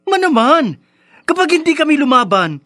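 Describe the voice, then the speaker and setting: A man's voice: extremely high pitch, big pitch swings, normal speed, sharp tone, dry recording, clean studio sound, exaggerated voice. A man with a Filipino accent playing a hotheaded, defiant young son in a radio drama, protesting stubbornly and whinily. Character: hotheaded, defiant young son who keeps getting into fights.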